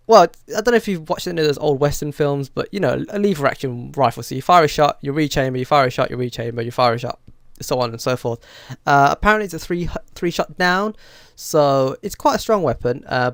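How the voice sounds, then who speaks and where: Croaky man with a cold. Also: a little high, no room sound, clean studio sound. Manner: chatty, frequent uh and um fillers. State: has a cold, stuffy and croaky. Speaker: gaming channel host. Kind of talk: casual news rundown to camera.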